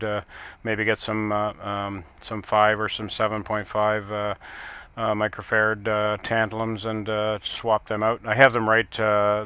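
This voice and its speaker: A man speaking as an amateur radio operator in casual technical chat, halting now and then and using radio jargon. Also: fairly low pitch, pushed and bright, smooth, no echo.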